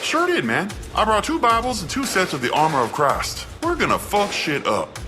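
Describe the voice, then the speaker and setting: An actor playing an eager, loyal, foul-mouthed sidekick in a comedy audio drama, with a childlike, folksy manner. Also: male voice, sits high, huge pitch swings, normal speed, music in the background, full character voice.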